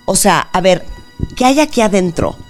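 Mexican woman host, middle-aged, playful teasing, animated melody, faint music under. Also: medium pitch, normal speed. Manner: teasing and exclamatory. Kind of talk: lively playful chat.

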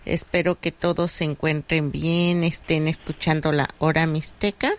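A woman hosting a community radio show, introducing guests in an unhurried way with some fillers. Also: low voice, little pitch movement. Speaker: radio host.

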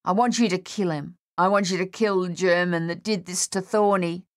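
A woman's voice: fairly low pitch, fairly even intonation, moderate pace, close to an everyday voice. A woman voicing a young Australian male soldier in an audiobook, shell-shocked and grieving, speaking in an anguished, insistent ramble. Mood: urgent pleading. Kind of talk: audiobook character voice.

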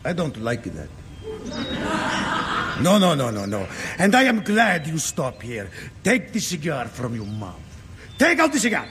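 An actor playing a proud, hot-tempered truck driver with a Sicilian Italian accent, who speaks broken English and swings from shouting to sobbing. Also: male voice, on the high side, wildly swooping pitch, a little slow, pauses often, in a large hall, noisy background, heavily acted. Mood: angry. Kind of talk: 1950s film drama acting.

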